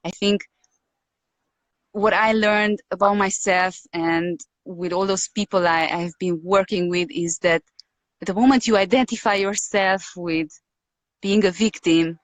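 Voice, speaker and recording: A woman from Romania with a Hungarian accent, speaking as a guest in an interview, reflective and hesitant, pausing often to find her words. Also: medium pitch, steady tone, no echo. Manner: hesitant, searching for words with many pauses and fillers. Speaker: podcast guest.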